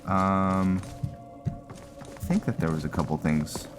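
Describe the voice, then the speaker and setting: A man with an extremely low voice talks through his roleplay game in a casual way. He mutters to himself and tends to repeat words, with music clearly playing behind him. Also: fairly even intonation, slow. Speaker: gaming content creator.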